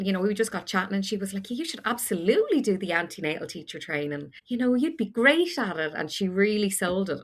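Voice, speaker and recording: A woman's voice: fairly low pitch, creaky, fairly bright tone. A woman in her forties with an Irish accent, a podcast guest telling her story frankly with self-deprecating humour. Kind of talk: candid storytelling chat.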